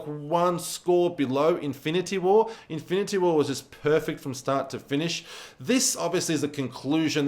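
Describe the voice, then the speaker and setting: A man reviewing a film in a casual, conversational style, repeating words for emphasis. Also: on the high side. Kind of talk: casual spoken movie review to camera.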